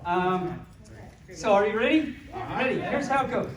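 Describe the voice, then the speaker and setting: A woman with a high voice performs a live storytime in a playful, cutesy manner. She takes her time and gently pokes fun at herself. The sound is echoey and picked up from a distance. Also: lively intonation, moderate pace, a crowd in the background. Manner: playful, cutesy, self-deprecating. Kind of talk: informal live storytime with self-written song. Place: echoey convention room with small audience. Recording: roomy, distant camera mic, audience around.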